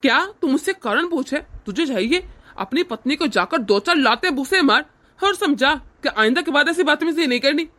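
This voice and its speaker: A woman's voice: high voice, animated, moderate pace, fairly bright tone. A woman voicing a harsh, domineering older man in a cartoon family drama, scornful and threatening. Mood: contemptuous. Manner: scornful, threatening, barking orders.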